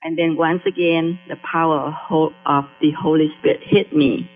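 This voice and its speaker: A middle-aged woman from Vietnam with a Vietnamese accent, a guest sharing her faith testimony in halting speech that restarts phrases. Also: low voice, fairly even intonation. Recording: tinny phone line with faint music.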